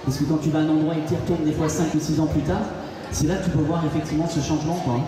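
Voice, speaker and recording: A male interviewer talks in a chatty, familiar way with many hesitations, and his voice is slightly high. He sounds far from the mic in a reverberant hall, and a crowd is clearly heard behind him. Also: steady tone, rapid-fire. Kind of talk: casual interview.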